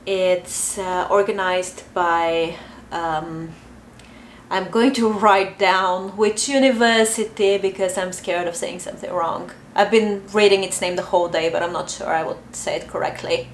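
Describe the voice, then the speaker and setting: A woman's voice: on the low side, steady tone, measured pace. Brief: young woman vlogger, Bulgarian accent, hesitant and chatty, roomy echo, faint background hum. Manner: chatty, hesitant, many fillers. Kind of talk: casual talk to camera. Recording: echoey room, faint ambience.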